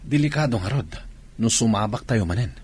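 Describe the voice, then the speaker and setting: A low-voiced soldier in radio drama. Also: male voice, lively intonation, a little fast, a little mellow, husky. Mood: concerned. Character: male soldier, married, talking with comrades. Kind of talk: Ilocano radio drama acting.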